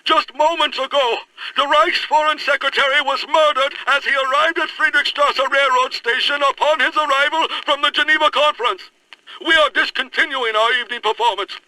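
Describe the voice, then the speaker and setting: A man's voice: very high-pitched, steady tone, brisk, bright, forceful tone, full character voice, poor audio. A man playing an emphatic, urgent radio announcer reading a dramatized 1930s news bulletin. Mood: urgent alarm. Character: urgent, alarmed German radio announcer breaking in.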